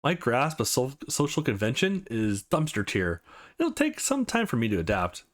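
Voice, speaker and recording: A man's voice: medium pitch, wildly swooping pitch, normal speed. Man reading Amanda, a blunt, cheeky young woman. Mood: teasing.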